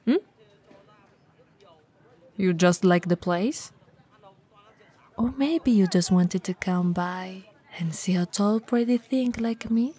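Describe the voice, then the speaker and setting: A woman playing a very tall, friendly, teasing bento shop owner in an ASMR roleplay, speaking softly and breathily, sometimes dropping into a whisper. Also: mid-range voice, slow, long pauses, breathy edge.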